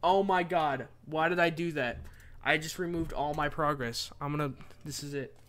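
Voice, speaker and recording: A young man giving casual, rambling commentary while he plays a game live, excitable and joking at his own expense. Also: on the high side, slight background noise. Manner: excitable, rambling, self-mocking, quick laughs. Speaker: streamer.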